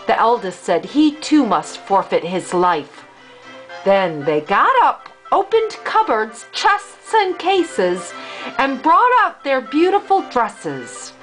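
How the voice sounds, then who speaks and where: An older woman, a grandmother reading a storybook aloud to a child in an expressive, deliberate storytelling manner. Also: high voice, low-quality recording.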